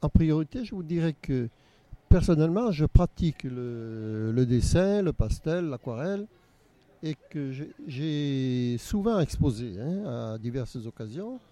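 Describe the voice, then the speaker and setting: A man's voice: fairly high pitch, very animated, a little slow, mellow, no room sound. An older man from Nice, the organizer of a local art exhibition, answering interview questions hesitantly with frequent repeats. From Nice, France.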